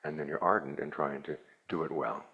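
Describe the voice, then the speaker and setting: A man, a Buddhist monk, giving a plainspoken, measured dharma talk to a retreat group with a dry, wry touch. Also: rumbling low, fairly even intonation, vocal fry, sharp tone, highs cut off, slight background noise.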